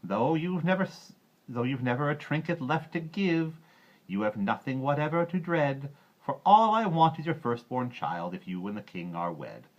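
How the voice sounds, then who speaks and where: A man's voice: mid-range voice, big pitch swings, moderate pace, highs cut off, voice pushed up, close to an everyday voice. A man voicing a bizarre little storybook gnome who mocks, wheedles and chants in sing-song rhymes. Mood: crooning. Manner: sing-song, roaring and crooning with nonsense rhymes.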